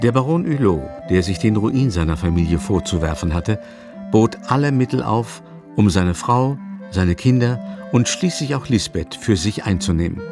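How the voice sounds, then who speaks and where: Low-voiced male narrator over music. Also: lively intonation, normal speed. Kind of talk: scripted literary narration in radio drama.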